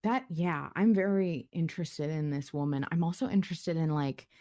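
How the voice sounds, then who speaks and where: Casting: female podcast host, slightly low voice, playful and wry, chatty delivery, very dry room sound. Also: normal speed, dark tone, no room sound. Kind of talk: casual podcast banter and discussion.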